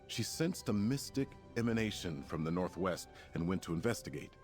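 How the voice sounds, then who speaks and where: An actor playing a formal, measured prince and leader in a video game. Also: male voice, sits low, lively intonation, a little mellow, faint music behind. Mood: grave briefing. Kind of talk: scripted game character dialogue.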